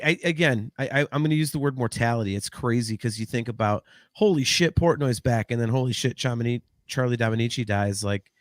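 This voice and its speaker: A man in his late forties co-hosts a relaxed podcast, talking in a loose, rambling way with frequent restarts and casual swearing. His voice sits at an ordinary middle pitch. The sound is close and dry, with no room around it. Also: dry recording. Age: 40s. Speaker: podcast co-host.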